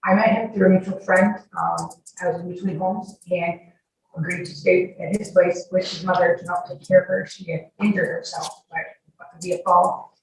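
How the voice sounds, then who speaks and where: A woman in her forties gives testimony as a witness, answering questions. Her voice is creaky, and she is heard off-mic in a very echoey courtroom. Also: fairly low pitch, steady tone, normal speed, recorded from a distance. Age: 40s. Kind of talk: answering questions under examination.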